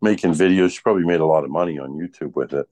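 Casual male talker for a chat show: low voice, dry sound, rambling anecdotal delivery. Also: very fast, a little mellow, no room sound. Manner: rambling, often tags sentences with "right?".

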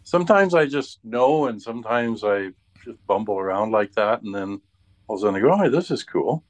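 A man in casual chat, rambling and thinking aloud in a halting way, repeating words as he goes. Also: fairly low pitch, lively intonation.

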